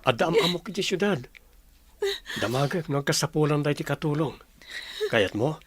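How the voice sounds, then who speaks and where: A man with an Ilocano Filipino accent playing a calm, helpful big-brother figure in a radio drama, advising plainly. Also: a little high, moderate pace, slightly rough, dry recording.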